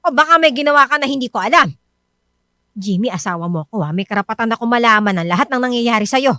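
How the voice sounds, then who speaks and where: A Filipino actress playing a worried, suspicious wife who nags and scolds in a Tagalog radio drama. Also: female voice, fairly high pitch, lively intonation, fast, bright, forceful tone, no echo, clean studio sound. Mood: alarmed, demanding. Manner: insistent, scolding.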